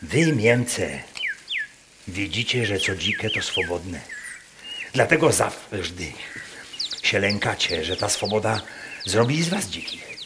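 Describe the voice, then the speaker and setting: An actor playing a gruff, proud rustic Masurian man in a radio drama, speaking folksy dialect in a probing, declamatory way. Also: lowish voice, big pitch swings, takes it slowly, pauses often, heavy background noise, heavily acted. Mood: proud, insistent. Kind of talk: theatrical radio drama dialogue. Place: performed live in a wooden barn.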